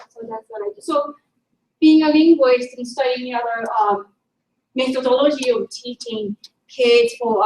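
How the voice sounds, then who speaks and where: A middle-aged woman with a Japanese accent, a native Japanese speaker, giving an informal conference presentation as a linguistics teacher and often searching for words. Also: high voice. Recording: echoey room, distant mic, faint noise.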